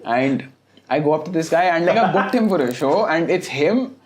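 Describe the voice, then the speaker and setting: A man's voice: fairly high pitch, moderate pace, noisy background. A young man from India, hosting a casual chat, telling stories with laughter and frequent swearing. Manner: animated storyteller, laughs and swears often. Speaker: host and club event curator. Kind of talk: casual podcast chat over food.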